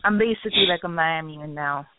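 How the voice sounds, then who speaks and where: A woman's voice: low-pitched, brisk, very noisy. A young woman from Haiti calling a radio show, talking eagerly in long rambling sentences and apologizing for babbling. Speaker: caller. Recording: tinny phone line.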